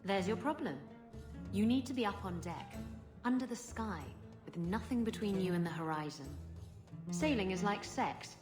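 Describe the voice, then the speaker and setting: A woman voices a swaggering female pirate who flirts shamelessly. Her sultry delivery is at a middle pitch, and a strong musical score plays beneath her. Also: lively intonation, moderate pace, close to an everyday voice. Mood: playful. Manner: sultry, teasing, worldly. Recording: game cutscene with music bed.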